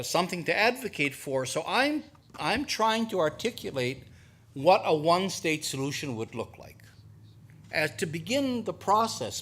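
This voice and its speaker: A man's voice: on the high side, pushed and bright. An older man, answering an audience question at a talk, hesitant at first with many restarts, then explaining earnestly. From United States. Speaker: guest speaker.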